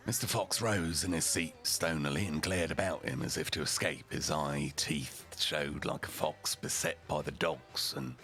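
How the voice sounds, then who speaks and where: A man with a gravelly voice telling a story theatrically and a little haltingly, as a rough-and-ready character. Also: extremely low pitch, fairly even intonation, fairly bright tone, faint music behind. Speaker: storyteller, roleplay streamer in character.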